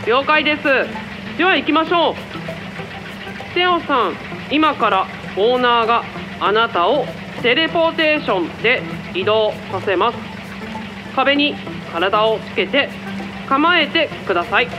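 A woman acts out a dutiful young operator speaking over an intercom in a radio drama. Her voice is high, polite and composed, with music behind it. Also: expressive, a little slow, lo-fi sound. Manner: polite, procedural.

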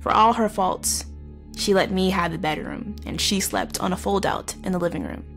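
A woman playing a wounded, wry, guarded teenage runaway in a halting, confessional audio drama monologue. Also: medium pitch, creaky, fairly bright tone, faint music behind. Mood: hurt, uneasy. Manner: halting, conversational, with pauses and wry asides. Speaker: narrator of audio horror story. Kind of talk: first-person dramatic monologue, confessional storytelling.

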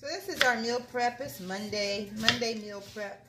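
A woman's voice: fairly high pitch, moderate pace, very noisy. A woman in her thirties chatting and teasing while filming a cooking vlog in her home kitchen. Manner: chatty, teasing, laughs easily. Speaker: vlogger. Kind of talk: casual cooking vlog chat.

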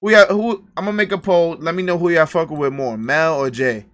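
A young man from the Bronx, hosting a livestream and joking loudly with crude slang into a headset. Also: on the high side, a little fast. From the Bronx, New York. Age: young adult. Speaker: livestream host. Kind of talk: casual livestream banter. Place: indoor room.

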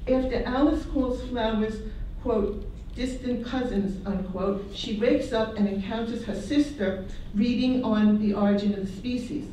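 Slow, mellow woman reading a paper. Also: fairly high pitch, slight background noise. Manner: slow, measured reading with restarts. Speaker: symposium presenter. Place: university classroom. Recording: echoey classroom from far off.

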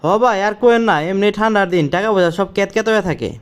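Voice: medium pitch, racing, smooth, close to an everyday voice. An actor voicing a cheeky, hot-tempered young village man in a comic cartoon, speaking colloquially with a rural Bangladeshi accent. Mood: chatty, boastful. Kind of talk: comic Bengali cartoon dialogue.

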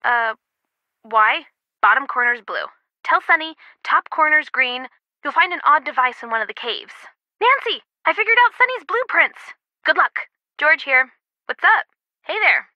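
An actress playing a brisk, wry young woman, a loyal friend and teammate, in a casual video game delivery. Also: sits high, expressive, no room sound, muffled recording.

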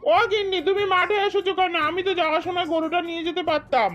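High-voiced rustic farmer dad, played by a woman. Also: fairly even intonation, quick pace, lo-fi sound. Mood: homely, weary. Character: hardworking, weary village farmer and vegetable-selling father. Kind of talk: amateur cartoon dubbing. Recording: music under the voice.

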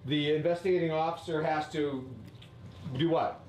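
A man leading a casual instructive talk, asking questions and tagging "right?" onto his points. His voice sits slightly high and rings in an echoey basement, with faint background ambience. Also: steady tone, normal speed, a little mellow, a little hiss or hum. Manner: questioning, prompting, frequent "right?". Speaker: teacher leading a lesson. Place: basement room.

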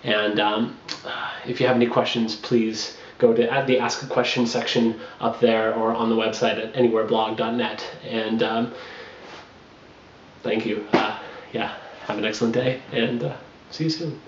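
A man's voice: medium pitch, level tone, normal speed, slight background noise. A young man in his twenties giving casual advice straight to camera in a small bedroom, rambling with lots of fillers and restarts, recorded on the camera. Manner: rambling, frequent fillers and restarts, laughs between points. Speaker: travel blogger. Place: small bedroom with bare wall. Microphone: camera on a makeshift tripod. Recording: roomy, dull lo-fi camera audio.

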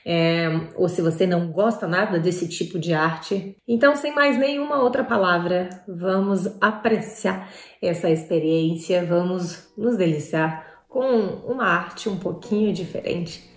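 A woman's voice: lowish voice, expressive, a little slow. Woman vlogger in an echoey room. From Paris, France. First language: French. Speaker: vlog host. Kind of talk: casual talk to camera. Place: small bright room indoors.

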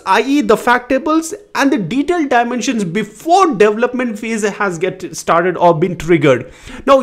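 Eager high-voiced man explaining data. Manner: eager, emphatic, lively delivery with hand gestures. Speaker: data educator and channel host. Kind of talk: explainer video to camera. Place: small indoor home studio. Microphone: studio mic on boom beside him.

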